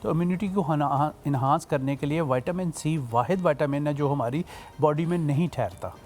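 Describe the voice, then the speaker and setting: A man's voice: a little high, normal speed, darkish tone. A man, a doctor and health expert on a morning show, explaining health tips in a folksy, plain way. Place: TV studio.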